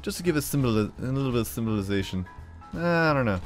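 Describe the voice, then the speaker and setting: A man's voice: mid-range voice, animated, quick pace. An American man giving casual gameplay commentary, playful and rambling as he thinks aloud. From United States. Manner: playful, often slips into put-on drawls. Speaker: gaming video host. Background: background music.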